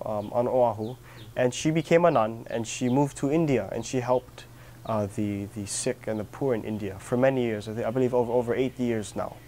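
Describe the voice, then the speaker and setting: A man's voice: mid-range voice, a little mellow, a little hiss or hum. Young man from hawaii, earnest, sincere, fillers and restarts, outdoor patio, faint ambience. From Kauai, Hawaii. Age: young adult. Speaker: interviewee. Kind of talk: reflective personal interview.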